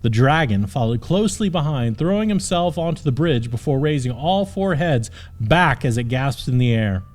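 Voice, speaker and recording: A man's voice: mid-range voice, animated, normal speed, darkish tone. A man narrating a scripted comic fantasy audiobook in a dramatic storytelling manner.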